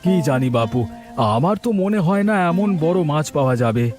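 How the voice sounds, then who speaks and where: A man's voice: a little high, lively intonation, fairly quick, natural voice. Cartoon fishing sidekick, male actor: younger villager, plaintive, doubtful grumbler, light music behind. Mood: doubtful, wistful. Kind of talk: animated village story dialogue.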